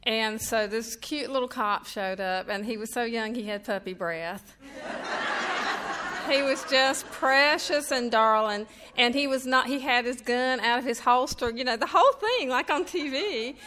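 A woman's voice: a little high, measured pace, bright, forceful tone, slight background noise. A middle-aged woman from North Carolina with a Southern accent, giving a chatty, self-deprecating humorous talk to an audience. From North Carolina, USA. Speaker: author speaking at a reading. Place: event room before a live audience.

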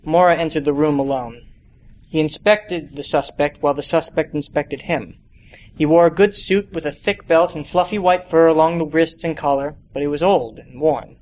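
A young man reads a detective story aloud in a narrating style. His voice is a little higher than most men's. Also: darkish tone, a little hiss or hum. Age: young adult. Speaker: student reading a short story aloud.

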